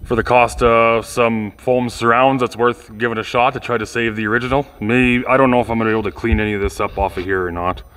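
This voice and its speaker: A man's voice: mid-range voice, fairly even intonation, fast, hardly any pauses, a bit bright, clean tone. A man giving casual hands-on video commentary, informal and rambling as he thinks aloud. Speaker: vlogger restoring old speakers.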